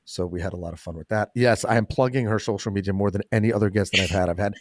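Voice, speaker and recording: A man's voice: fairly low pitch, fairly even intonation, racing, no room sound, studio quality. A man hosting a podcast, chatting casually and making joking asides. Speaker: podcast host. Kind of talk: casual interview chat.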